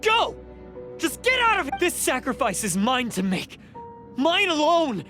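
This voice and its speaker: A man's voice: very high-pitched, huge pitch swings, measured pace, bright, forceful tone, faint music behind, exaggerated voice. An actor playing a proud, bitter young male blue oni in a video game, stern and grave with dramatic intensity. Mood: defiant, anguished. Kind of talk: video game character acting.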